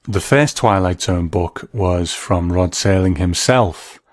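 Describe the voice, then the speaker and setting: A man hosting a show, giving a measured, thoughtful scripted review in a reflective narrating style. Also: low voice, no echo, clean studio sound. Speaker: host and narrator.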